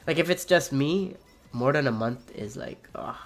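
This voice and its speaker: A young man with a raspy voice, streaming a game and rambling casually with many fillers and restarts. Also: on the high side, expressive, takes it slowly, soft background music. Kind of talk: casual chat while playing a game.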